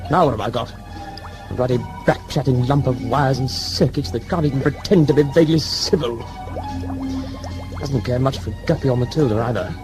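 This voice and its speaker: A man's voice: mid-range voice, lightly acted, poor audio. A man playing a grumbling, pompous old colonial governor in an audio drama, British-accented and querulous, muttering to himself.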